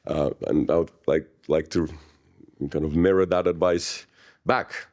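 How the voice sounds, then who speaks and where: A man's voice: deep voice, fairly even intonation, a little slow, darkish tone. A man with a Czech accent, a native Czech speaker, explaining economics at length as the moderator of a panel, with wry asides. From Czech Republic. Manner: lectures in long explanatory runs, wry jokes.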